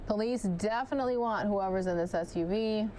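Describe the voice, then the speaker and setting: A woman news anchor giving live commentary on a police chase, chatting directly with viewers, her voice starting to go hoarse. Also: mid-range voice, a little fast, warm tone, slight background noise. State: losing her voice.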